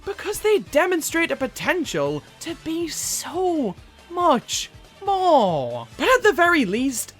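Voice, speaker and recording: A woman's voice: high voice, fairly bright tone. A woman delivering scripted movie review commentary as a video host, with theatrical, exasperated emphasis and some swearing.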